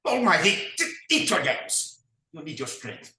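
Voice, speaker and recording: A man's voice: wildly swooping pitch, moderate pace, pushed and bright, reverberant, full character voice. An actor playing a domineering, grandiose shepherd who commands his brother, in a staged play reading. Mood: commanding. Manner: commanding, theatrical, booming declarations. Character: domineering self-styled master shepherd, grandiose man.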